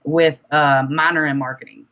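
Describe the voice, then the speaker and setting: Casting: young female interview guest, very low register, candid and emphatic, casual delivery, muffled lo-fi recording. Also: steady tone, normal speed. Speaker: guest, independent music artist. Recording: dull, lo-fi sound.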